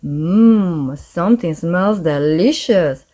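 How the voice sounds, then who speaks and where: A woman's voice: on the low side, animated, slow pace, dark tone. A woman voicing a kindly, patient old grandpa pig in a children's storybook. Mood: jolly.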